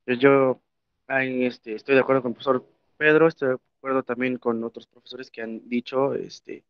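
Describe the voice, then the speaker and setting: A man's voice: mid-range voice, flat delivery, moderate pace, clean tone, no echo. A young Mexican man, a historian and Nahuatl teacher, speaking reflectively in a panel talk with many filler words. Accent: Mexican Spanish. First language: Spanish. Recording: dry, muffled lo-fi sound.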